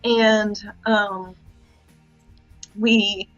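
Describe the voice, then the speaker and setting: A woman's voice: mid-range voice, level tone, drawn-out words, a bit bright, faint music behind. Chatty woman food blogger. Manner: chatty, detailed explainer. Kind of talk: casual interview chat. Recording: dull lo-fi recording.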